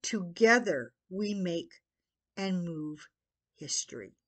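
An older American woman giving an earnest promotional talk to camera, with deliberate, halting phrasing and pauses. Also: lowish voice, lively intonation, a bit bright. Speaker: petitioner promoting own website. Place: small living room at home, indoors.

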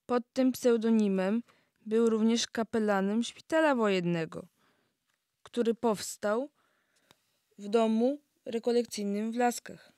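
A woman reads a scripted part in a historical audio play. Her pitch is a little high and the sound is very dry. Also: unhurried, mellow, no echo.

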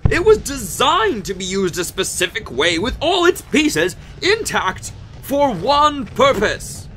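A man's voice: very high-pitched, very animated, takes it slowly, pushed and bright, a little hiss or hum, exaggerated voice. A man playing an exasperated, stubborn army general in a comedic radio play, barking indignantly and emphatically. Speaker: actor in audio drama.

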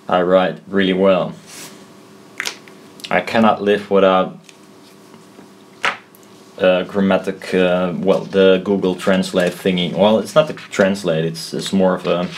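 Man, lowish voice, very slow halting delivery, informal tech explainer, self-deprecating, thinking aloud. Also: a little hiss or hum. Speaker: presenter explaining loudspeaker technology. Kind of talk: informal hand-drawn tutorial, thinking aloud. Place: indoors at a desk.

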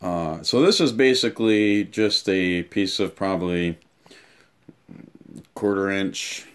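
A man in his thirties giving a casual, offhand unboxing and review, explaining things haltingly in a room at home. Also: low voice, clear, resonant tone. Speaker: tool reviewer and vlogger. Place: small home room, indoors.

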